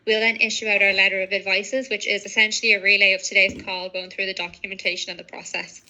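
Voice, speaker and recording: A woman's voice: medium pitch, flat delivery, quick pace, pushed and bright, low-quality recording. A woman working as a legal adviser, methodically explaining procedures to a client on a professional call. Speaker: legal adviser on citizenship applications.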